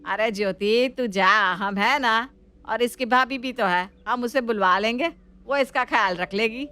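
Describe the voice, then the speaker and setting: Woman doing older saas in a story, fond and chatty, smooth highish voice. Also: unhurried, pushed and bright. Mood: reassuring. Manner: homely, effusive. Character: affectionate, chatty older mother-in-law.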